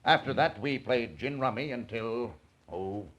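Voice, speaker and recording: A man playing a self-assured middle-aged businessman witness, measured and formal, in 1940s radio style. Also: mid-range voice, fairly bright tone, lightly acted. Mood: composed, certain. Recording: dull, lo-fi old radio.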